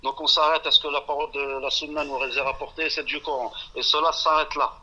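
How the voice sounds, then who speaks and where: A man's voice: fairly high pitch, level tone, fairly quick, sharp tone. Blunt man over a muffled phone line. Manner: blunt, categorical, talks over the host. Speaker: phone-in guest. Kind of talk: combative phone interview. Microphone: telephone.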